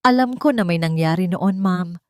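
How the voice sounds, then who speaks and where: A woman's voice: fairly low pitch, moderate pace, darkish tone, clean tone, dry recording, studio quality, lightly acted. A Filipino woman storyteller voicing a timid, hurt younger woman who speaks respectfully and pleadingly in a romance story. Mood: pained. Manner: deferential, pleading.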